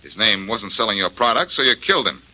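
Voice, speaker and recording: An actor playing a brash, wisecracking detective who presses with sardonic questions in a 1940s radio drama. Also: male voice, lowish voice, sing-song, fast talker, sharp tone, heavily acted. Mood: accusing. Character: brash, wisecracking male amateur detective, confident and needling. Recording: dull vintage 1940s radio recording.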